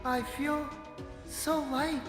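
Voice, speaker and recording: A woman playing a gentle, timid female ghost who speaks softly with wonder. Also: sits high, steady tone, slow pace. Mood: relieved. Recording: long ghostly reverb, music behind.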